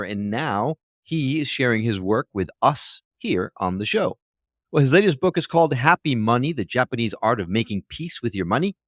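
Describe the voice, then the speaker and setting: A man's voice: mid-range voice, no echo, clean studio sound. A man co-hosting a podcast who talks eagerly and effusively, sometimes stumbling over his words. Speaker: podcast co-host. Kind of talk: podcast interview.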